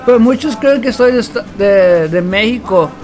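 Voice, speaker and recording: A man's voice: sits high, lively intonation, moderate pace, a little mellow, faint music behind. A man from Texas, chatting casually on a game livestream in a slangy, joking way. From Texas, United States. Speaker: game streamer. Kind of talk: casual bilingual livestream chat.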